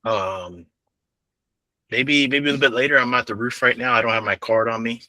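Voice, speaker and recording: A man's voice: mid-range voice, fairly quick, sharp tone, no room sound. A man in a casual mock sales call, playing the prospect with brief, noncommittal replies. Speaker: coaching client playing a roofer prospect.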